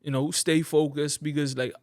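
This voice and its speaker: Bahamian man, animated storytelling. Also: a little high, normal speed, no room sound, studio quality. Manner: animated storytelling, repeats phrases. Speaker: podcast guest. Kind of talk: casual chat.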